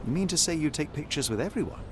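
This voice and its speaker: An actor playing a composed, analytical British detective in a video game, speaking in a measured, formal way. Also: male voice, fairly high pitch, animated, slight background noise, lightly acted. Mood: cool, insistent. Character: composed, analytical young gentleman detective, coolly persuasive.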